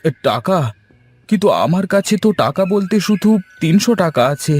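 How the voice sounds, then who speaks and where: A man's voice: sits high, expressive, normal speed, pitched above the performer's own voice. A man voicing a humble, anxious young village husband in a cartoon folk tale, earnest and deferential, over music. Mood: kindly. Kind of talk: cartoon fairy-tale voice acting. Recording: music bed under voice.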